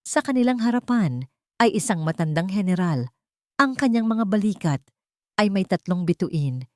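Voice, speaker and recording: A woman's voice: mid-range voice, lively intonation, moderate pace, no echo, clean studio sound. A Filipino woman narrating a scripted story in a dramatic storytelling style.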